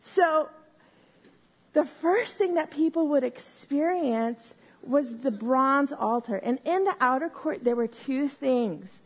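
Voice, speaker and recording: A woman teaching a Bible lesson to a live group on a microphone, explaining slowly and step by step and often checking in with "right?". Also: sits high, animated, low-quality recording. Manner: says she has a loud voice. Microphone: handheld or stand mic.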